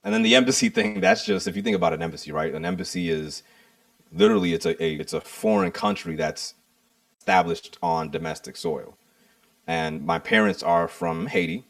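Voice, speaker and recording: Man, podcast guest, gravelly voice, dry distant mic, reflective, conversational. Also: sits low, very animated, brisk, a bit bright, no echo. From United States. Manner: reflective, explains with metaphors, frequent "right?". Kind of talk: casual interview conversation.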